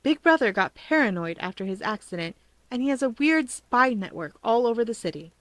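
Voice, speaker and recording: A woman's voice: a little high, sing-song, brisk, no echo. A woman voicing a kind, helpful young man with a reassuring, decisive manner, in a story reading.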